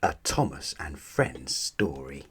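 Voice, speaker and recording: A man narrating a children's storybook aloud in an expressive, deliberate storytelling manner. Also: very deep voice, breathy edge.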